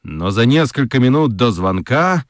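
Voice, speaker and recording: A man narrating a children's radio drama as an expressive storyteller, reading scripted literary prose. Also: medium pitch, unhurried, dry recording, clean studio sound.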